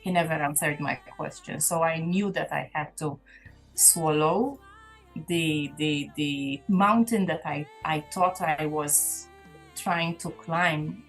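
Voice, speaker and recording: Woman, gospel singer as guest, lowish voice, earnest and reflective, soft music underneath. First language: Maltese. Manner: earnest, reflective testimony with restarts. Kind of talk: casual interview chat.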